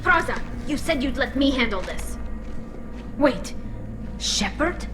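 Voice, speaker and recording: An actress playing a loyal, firm alien squad leader in a video game, speaking earnestly and assertively. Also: female voice, sits high, lively intonation, takes it slowly, music in the background. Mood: urgent, surprised.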